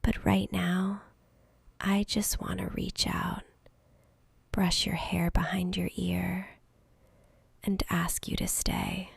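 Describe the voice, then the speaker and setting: A woman's voice: on the low side, vocal fry, breathy edge, no echo, exaggerated voice. A woman voicing a lonely, centuries-old vampire girl in an intimate ASMR roleplay, whispering breathily and close to the mic with tender, hesitant longing. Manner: slow, intimate, hesitant pleading. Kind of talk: whispered soft-spoken ASMR romantic roleplay.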